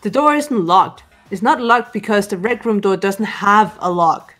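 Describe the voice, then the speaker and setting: Visual novel role: cool, decisive girl sleuth voiced by a woman, mid-range, animated intonation, faint music. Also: medium pitch, unhurried. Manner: calm, matter-of-fact, commanding. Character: composed, analytical, decisive teenage girl detective. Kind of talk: visual novel dub dialogue. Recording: background game music.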